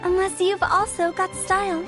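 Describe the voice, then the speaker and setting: An eccentric young poet girl for a video game. The voice is very high, cheeky and theatrical, full of playful banter, with music underneath. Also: level tone, normal speed. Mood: playful, confident. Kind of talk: video game character acting.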